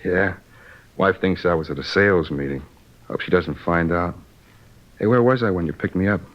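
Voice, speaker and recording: Casting: actor as rueful hungover male salesman, low voice, slangy and groggy, 1950s radio drama, dull lo-fi sound. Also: normal speed, close to an everyday voice. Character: hungover man, sheepish salesman and war veteran under police questioning. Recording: dull lo-fi old radio recording.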